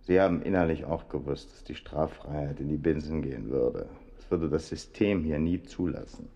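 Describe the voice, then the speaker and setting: A man's voice: rumbling low, moderate pace, dark tone. An actor in a German radio crime drama playing a bitter, defiant male prisoner, a war veteran, with a sardonic, mocking manner.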